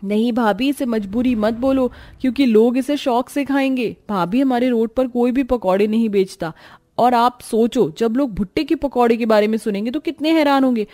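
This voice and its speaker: A woman's voice: fairly high pitch, normal speed, warm tone, faint music behind, natural voice. A woman voicing a hopeful, persuasive young sister-in-law in a cartoon story, earnest and upbeat. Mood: eager, persuasive. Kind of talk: cartoon story character dialogue.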